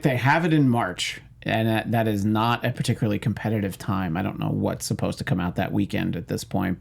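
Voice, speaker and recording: Gravelly, low-voiced man chatting. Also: darkish tone. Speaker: panel co-host. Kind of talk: casual panel discussion.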